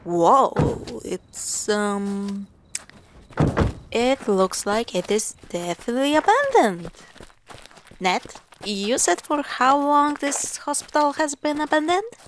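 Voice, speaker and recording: A woman's voice: mid-range voice, vocal fry, a bit bright, no room sound, full character voice. A woman playing a skeptical, teasing young girlfriend in an audio roleplay, chatting casually with friends. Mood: curious, impressed. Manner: casual, teasing, hesitant pauses. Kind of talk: audio roleplay acting, conversational.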